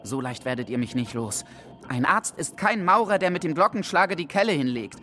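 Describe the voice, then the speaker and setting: Indignant drunk, wildly swooping voice. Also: male voice, on the high side, animated, moderate pace, sharp tone. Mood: indignant, stubborn. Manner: argumentative, insistent. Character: indignant, stubborn drunken male patient demanding treatment. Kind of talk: German radio drama acting.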